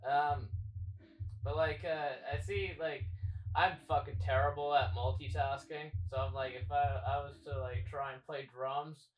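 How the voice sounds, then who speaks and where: A man's voice: on the high side, steady tone, unhurried, roomy sound, recorded from a distance, low-quality recording. A man hosting a casual interview, asking questions in a rambling, filler-heavy way and swearing casually. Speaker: podcast host.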